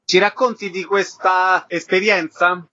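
A male radio interviewer with a fairly high voice delivers scripted links and brief questions. The recording is a little muffled and lo-fi. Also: level tone, unhurried, sharp tone, dry recording. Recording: slightly dull, lo-fi sound.

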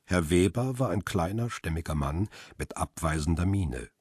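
An actor playing a weary middle-aged photographer who tells his own story in a measured, sober way for a radio drama. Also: lively intonation, a little mellow, dry recording, studio quality, exaggerated voice. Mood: sober, reflective. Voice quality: low, husky. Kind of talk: radio drama first-person narration.

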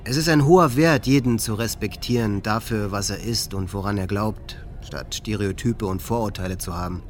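An actor playing an earnest teenage high-school boy reading a formal, measured statement in a radio play. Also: slight background noise, close to an everyday voice. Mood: earnest, hopeful.

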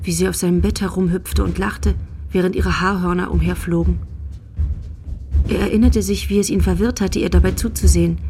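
A woman's voice: fairly low pitch, fairly even intonation, a little fast, mellow, faint music behind. A woman narrator reading literary, scripted storytelling for a radio drama.

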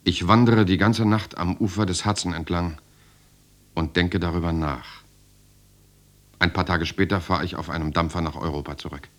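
An actor playing a German writer who recounts his years in the resistance in a measured, reflective way. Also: sits low, fairly even intonation, close to an everyday voice. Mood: sober, reflective. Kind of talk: first-person radio drama narration.

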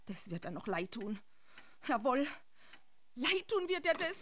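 An actress playing a fed-up wife who scolds insistently, in a radio drama. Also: female voice, high-pitched, big pitch swings, a little slow, very noisy. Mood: scolding.

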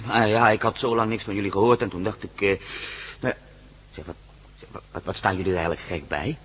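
A man's voice: on the low side, fairly even intonation, a little fast, a bit bright, husky. An actor playing a hesitant, stammering male friend in an old radio play. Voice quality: stammering, nervous giggling.

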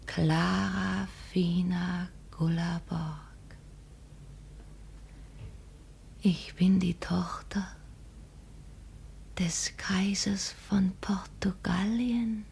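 An actress playing a humble, grieving young daughter in a radio play, halting and hushed, with a breathy voice. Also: female voice, on the low side, heavily acted. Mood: numb, dignified. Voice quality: breathy, husky. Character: grieving, humble, quietly proud daughter of a poor man. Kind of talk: mid-20th-century German radio play acting.

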